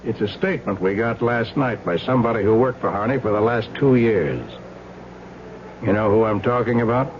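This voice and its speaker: A skeptical American police lieutenant, played by a male actor, with a fairly low voice. He interrogates in a deliberate, dryly sarcastic way, as in vintage radio crime drama. The sound is muffled, with faint noise and hum. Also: close to an everyday voice. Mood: firm, pressing. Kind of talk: old-time radio crime drama acting. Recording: dull, noisy old radio recording with hum.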